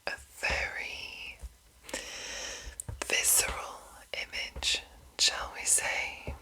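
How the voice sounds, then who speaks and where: A woman hosts in an ASMR style, in a breathy, bright whisper. She reads very slowly and deliberately, breaking her phrases into short pieces with long pauses between them. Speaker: host of an ASMR channel. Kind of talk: whispered ASMR reading and commentary.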